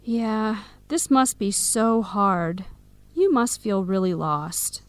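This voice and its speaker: A woman playing a caring, supportive young friend in an educational radio drama, with a gentle, considerate manner. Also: medium pitch, lively intonation, slow pace, clear, resonant tone, no echo. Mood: sympathetic. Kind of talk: acted radio drama dialogue for English learners.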